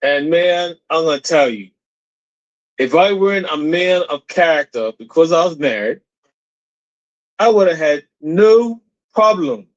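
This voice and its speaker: Brief: male caller, high voice, excited storyteller, lo-fi line. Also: a little slow, pauses often. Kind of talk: casual call-in storytelling. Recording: dull lo-fi call audio.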